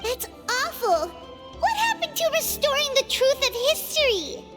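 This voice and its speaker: A woman voicing a tiny, excitable female fairy-like companion in a game, chirpy and indignant. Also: extremely high pitch, a little slow, full character voice. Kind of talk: game cutscene voice acting. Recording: game audio with reverb and music.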